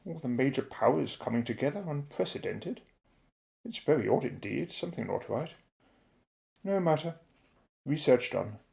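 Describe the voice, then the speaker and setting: A man playing a daring, eccentric middle-aged British inventor in an audio drama, explaining things in a declamatory, clipped way, with a creaky voice. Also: mid-range voice, animated, normal speed, long pauses, darkish tone. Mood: musing, wary. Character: eccentric, daring British male inventor, secretive and self-assured.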